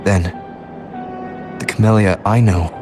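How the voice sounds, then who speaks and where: An actor playing a calm, reflective young male wanderer, the hero of a video game. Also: lowish voice, a little slow, over music, close to an everyday voice. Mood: calm, pensive. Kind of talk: English video game voice acting, inner monologue.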